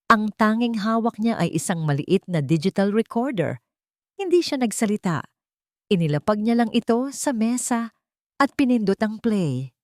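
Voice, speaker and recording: A woman reading a scripted dramatic love story as its narrator, in a measured, theatrical delivery. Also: mid-range voice, a little mellow, no room sound, studio quality.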